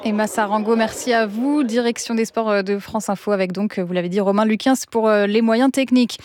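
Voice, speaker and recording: A woman anchoring a radio news bulletin, reading in a crisp, measured way. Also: mid-range voice, steady tone, a crowd in the background.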